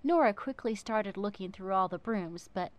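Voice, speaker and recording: A woman narrating a children's book in an expressive, theatrical way, with dramatic emphasis and pauses. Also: medium pitch, no echo.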